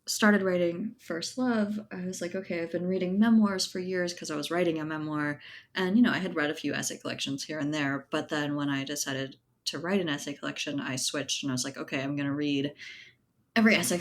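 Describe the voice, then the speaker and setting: A woman with a distinctly low voice speaks as a guest in a casual interview. She explains her thinking thoughtfully, sprinkling in "you know" and "like" as she works through each point. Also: moderate pace. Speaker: interview guest, writer and editor. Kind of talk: casual conversational interview answers.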